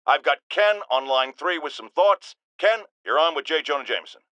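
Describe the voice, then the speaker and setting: Video game radio ranter: irascible middle-aged man, high forceful delivery, bombastic, theatrical, always indignant at callers and listeners. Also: lively intonation, normal speed, no room sound, dull audio. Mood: businesslike. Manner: theatrical, indignant, sarcastic, emphatic. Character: blustering, irascible middle-aged male tabloid radio host. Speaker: call-in radio show host. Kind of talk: bombastic talk-radio ranting.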